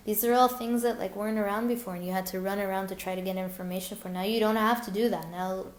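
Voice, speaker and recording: A woman's voice: medium pitch, fairly even intonation, fast talker, echoey room. A woman speaking as a guest in a live online Q&A, talking earnestly with many fillers and restarts. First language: English. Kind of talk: live webinar Q&A.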